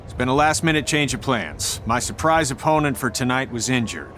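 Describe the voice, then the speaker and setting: A man's voice: mid-range voice, expressive, fairly quick, a bit bright, slight background noise, natural voice. A man playing a self-assured veteran wrestler and blunt mentor in a video game cutscene, with a dry delivery. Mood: dry, commanding.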